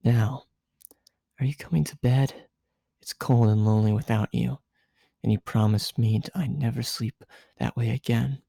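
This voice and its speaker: Man reading as a bossy, teasing teenage girl, mellow. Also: medium pitch, steady tone, moderate pace, gravelly, long pauses, breathy edge, no room sound. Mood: coaxing.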